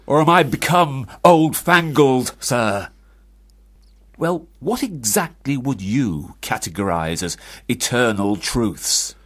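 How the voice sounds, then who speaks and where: An older parson father, severe and formal. His husky delivery swings widely in pitch as he reproaches his grown son with biting sarcasm. It is period radio drama. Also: expressive, slow pace. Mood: sarcastic.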